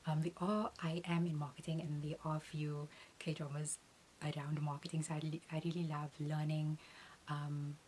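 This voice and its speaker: A young adult woman, a beauty content creator, answering Q&A questions about her channel in an earnest, measured way. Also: deep voice, flat delivery, darkish tone, a little hiss or hum. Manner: earnest, measured, uses "um" between points.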